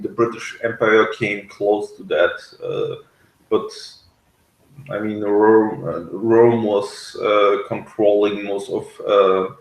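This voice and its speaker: A man in casual discussion, speaking hesitantly and often pausing to search for words. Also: on the low side, roomy sound, low-quality recording. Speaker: podcast reviewer and discussion panelist.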